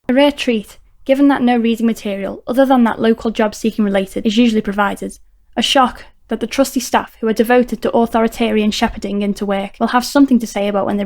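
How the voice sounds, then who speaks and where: A woman's voice: mid-range voice, a little mellow, no room sound. A woman reading scripted narration for a crime audio drama.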